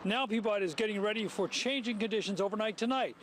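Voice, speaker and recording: High-pitched American male reporter outdoors. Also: fast, fairly bright tone. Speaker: field news reporter. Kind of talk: live news report. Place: outdoors beside a city road. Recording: clear outdoor traffic noise.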